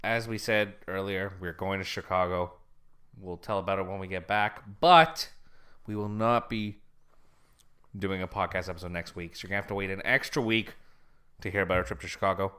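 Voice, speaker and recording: A man's voice: low voice, fairly quick, pushed and bright, smooth. A young man co-hosting a casual podcast, rambling with restarts and frequent laughs. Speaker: podcast co-host.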